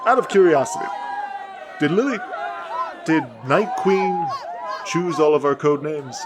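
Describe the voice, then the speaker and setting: Behind a clear din of crowd and noise, a heroic male soldier in an audio drama. He speaks slowly and carefully, with a bemused, deadpan edge. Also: a little high. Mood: dry, bemused. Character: cautious, earnest heroic soldier, bemused and dry. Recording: noisy outdoor battle crowd behind.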